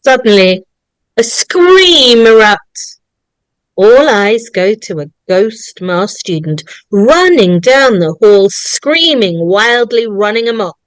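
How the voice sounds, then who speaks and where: A woman's voice: fairly high pitch, big pitch swings, takes it slowly, clear, resonant tone, dry recording, rough recording. A woman reading screenplay directions as a scripted narrator.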